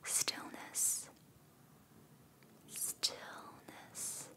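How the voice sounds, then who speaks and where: A woman guiding a meditation in soothing ASMR style, in a soft, breathy whisper with repeated phrases and long pauses. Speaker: ASMR reiki practitioner and meditation guide.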